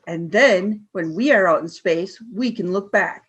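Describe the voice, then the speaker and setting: An imperious female alien commander, acted by a woman in a radio drama. She speaks deliberately and with scorn, cold and cruel, used to being obeyed. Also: medium pitch, fairly bright tone, slightly rough. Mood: cold, brooding. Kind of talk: audio drama radio play acting.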